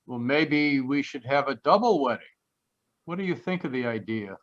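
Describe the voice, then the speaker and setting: Bright-voiced easygoing young radio sidekick, man acting. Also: mid-range voice, normal speed, lightly acted. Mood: earnest, easygoing. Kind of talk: old-time radio drama acting. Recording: slightly dull video-call audio.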